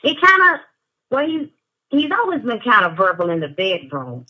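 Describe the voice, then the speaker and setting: A woman's voice: on the high side, wildly swooping pitch, moderate pace, breathy edge, muffled recording. A woman in her forties calling in, talking candidly and laughing, with dramatic exclamations. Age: 40s. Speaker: caller. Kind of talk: casual chat.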